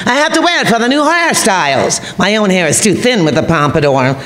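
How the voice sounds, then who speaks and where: A woman's voice: medium pitch, big pitch swings, fairly quick, a bit bright. An older woman acts out a bossy, fussing wife in a radio comedy. Her delivery swoops up and down theatrically as she nags. The sound is echoey and roomy. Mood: huffy. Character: nagging, vain, oblivious chatterbox wife of a grumpy husband. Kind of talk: old-time radio comedy sketch acting.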